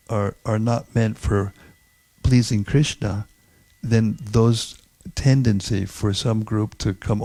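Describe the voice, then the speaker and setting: A man's voice: fairly low pitch, vocal fry, warm tone. A man speaking informally as a religious teacher in a group discussion, explaining things conversationally. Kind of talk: informal spiritual discourse.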